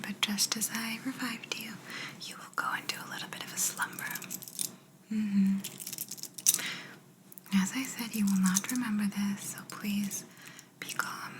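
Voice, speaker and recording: A woman voicing a calm, politely superior alien examiner in an ASMR sci-fi roleplay, in a soft breathy whisper with a gentle, musing manner. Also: medium pitch, close to an everyday voice, rough recording. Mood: soothing. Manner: slow, gentle, hesitant musing. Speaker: ASMR performer.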